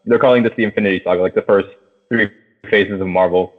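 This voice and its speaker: Thin phone-like sound, man, lowish voice, podcast host, casual film chat, enthusiastic, sweary. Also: fairly even intonation, fast talker. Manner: sweary, filler-heavy, enthusiastic. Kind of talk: casual movie review chat.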